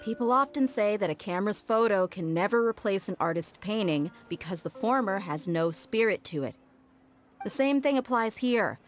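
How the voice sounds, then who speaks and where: A woman playing a confident, easygoing young female swordfighter in a video game, explaining in a measured, assured way. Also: mid-range voice, animated, faint music behind, lightly acted.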